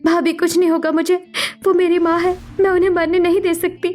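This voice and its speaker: Woman acting an earnest, high-voiced young cartoon daughter. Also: level tone, fairly quick, a little mellow. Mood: determined, tearful. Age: young adult. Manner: earnest, pleading. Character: gentle, devoted, self-sacrificing young daughter, earnest and pleading. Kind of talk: melodramatic Hindi cartoon story acting.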